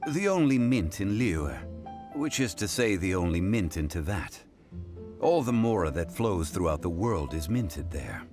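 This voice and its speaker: A refined, scholarly gentleman from a fantasy game. His low voice is formal and composed, with expressive rises and falls as he explains things in measured phrases. Music is clearly audible behind him. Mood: explanatory. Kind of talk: video game voice acting. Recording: loud background music.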